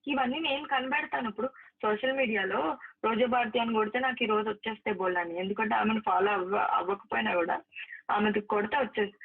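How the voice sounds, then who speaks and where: A woman's voice: a little high, breathy edge. A woman arguing in a private phone call, emphatic and repetitive, reproaching the person she is talking to. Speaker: actress. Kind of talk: heated private phone conversation. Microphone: phone line. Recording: narrow, tinny recorded phone call.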